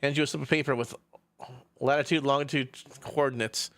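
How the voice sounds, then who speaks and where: A man streaming a visual novel, reading the text aloud with goofy, profane, expressive asides over music. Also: medium pitch, brisk, no echo, clean studio sound.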